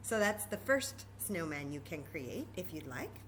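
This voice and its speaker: A woman's voice: sits low, very animated, a little slow, a bit bright, a little hiss or hum. A woman presenting a children's story time craft, explaining each step informally and patiently and repeating key words.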